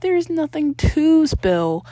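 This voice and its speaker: A woman's voice: high-pitched, sing-song, takes it slowly, warm tone, no room sound, voice pushed up, full character voice. A woman voicing an awkward, defensive teenage boy hero in fan fiction, hesitant and protesting. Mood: insistent. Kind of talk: acted fan fiction dialogue.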